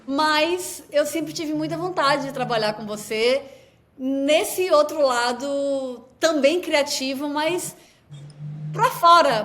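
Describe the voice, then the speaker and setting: A woman's voice: sits high, a bit bright. A woman from Salvador with a Bahian accent, an actress co-hosting a podcast, playful and joking in casual chat. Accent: Bahian Brazilian Portuguese. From Salvador, Bahia, Brazil. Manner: playful, joking, laughs easily.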